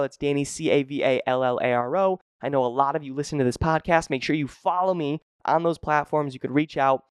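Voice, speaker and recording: A man's voice: fairly high pitch, clear, resonant tone, no room sound, studio quality. A young man hosting his own podcast as a fitness coach, talking energetically and emphatically and repeating key phrases. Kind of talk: motivational solo podcast talk.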